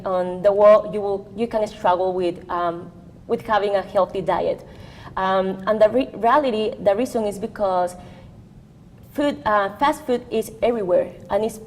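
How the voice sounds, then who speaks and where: A young woman from Costa Rica with a strong Spanish accent, answering as a guest on a community talk show and pausing often as she searches for words. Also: mid-range voice, darkish tone, long reverb tail, slight background noise. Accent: Costa Rican Spanish. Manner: hesitant, many pauses with um. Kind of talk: interview answer.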